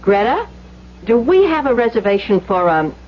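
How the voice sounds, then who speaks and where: An actress playing a skeptical, practical woman in a radio drama, briskly questioning. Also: fairly high pitch, sing-song. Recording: dull vintage radio, faint hum.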